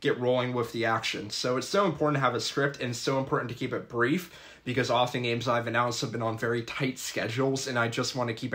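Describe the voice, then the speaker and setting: A man's voice: mid-range voice, fairly bright tone. A young man, a college student and sports announcer, giving earnest, methodical advice in a scripted vlog. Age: young adult. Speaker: public address announcer.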